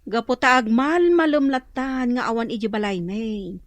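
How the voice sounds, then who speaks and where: A woman playing a scolding, exasperated wife and mother in a comedic radio drama, shrill and nagging, with an Ilocano accent. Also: normal speed, no room sound, lightly acted. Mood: grumbling.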